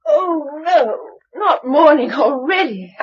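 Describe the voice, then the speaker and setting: An actress with an English accent playing an anxious, affectionate farmer's wife in a vintage radio drama. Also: female voice, high-pitched, expressive, slow. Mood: weary. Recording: dull, clearly noisy old radio recording.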